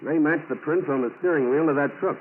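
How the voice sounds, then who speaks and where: An actor playing a shrewd, persistent federal investigator, probing and matter-of-fact in 1940s radio style. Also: male voice, a little high, quick pace, natural voice. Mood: intent. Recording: scratchy, tinny old-time radio broadcast.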